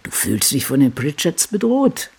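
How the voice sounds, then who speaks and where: A man's voice: a little high, wildly swooping pitch, moderate pace, a little mellow, husky, heavily acted. A crotchety old woman novelist, swooping musingly. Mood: musing, working. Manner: musing, talking to self. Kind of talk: radio drama monologue, diary reading.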